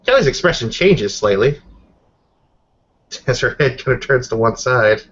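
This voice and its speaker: A man narrating a tabletop roleplaying game in a halting way, pausing between phrases. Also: medium pitch, expressive, a bit bright, slightly rough, highs cut off.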